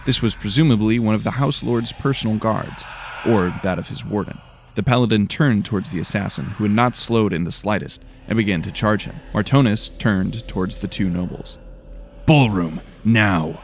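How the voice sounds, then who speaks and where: A man's voice: lowish voice, a little fast, a little hiss or hum. A man narrating a scripted fantasy story in a dramatic storytelling style.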